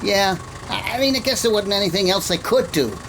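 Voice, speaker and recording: A woman's voice: medium pitch, animated, brisk, close to an everyday voice. A woman playing a chatty, folksy local taxi driver in a radio drama, rambling with hesitant asides. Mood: folksy, gossipy. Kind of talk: old-time radio drama acting. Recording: car engine noise behind.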